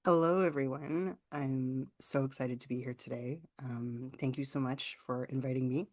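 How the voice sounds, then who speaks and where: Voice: medium pitch, steady tone, normal speed, darkish tone. A writing teacher from Ontario with a Canadian accent, giving an informal online workshop presentation in a conversational, explanatory way. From rural southwestern Ontario, Canada.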